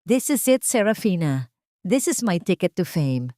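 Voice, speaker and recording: A woman's voice: fairly low pitch, big pitch swings, a little slow, dark tone, dry recording. A woman narrator plays an arrogant, greedy husband in an audio drama. He is boastful and commanding, hungry for fame, captured dry and close. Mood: gloating.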